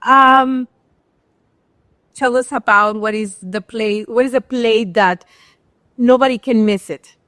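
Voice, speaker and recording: Effusive host, higher voice. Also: female voice, slow, pauses often, dry recording, studio quality. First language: Spanish. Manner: effusive, animated. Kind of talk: enthusiastic bilingual interview. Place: restaurant.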